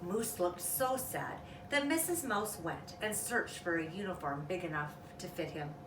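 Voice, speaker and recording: A woman's voice: lowish voice, lively intonation, unhurried. A woman reading a children's story aloud expressively, drawing out sound words and adding casual asides. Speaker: storytime reader. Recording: echoey room, distant mic, faint noise.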